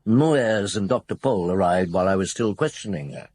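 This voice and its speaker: An actor playing a shrewd, patient chief inspector in a radio drama, with a dry, probing, unhurried manner. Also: male voice, deep voice, lively intonation, darkish tone. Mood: reflective narration. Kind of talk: radio drama acting with narration.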